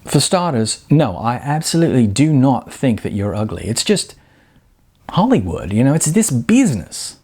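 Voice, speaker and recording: A glib talent agent who talks his way past every awkward moment, as a man voices him. He hedges with fillers yet keeps things breezy. His husky voice moves with lively pitch. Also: normal speed. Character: smooth-talking male talent agent, evasive.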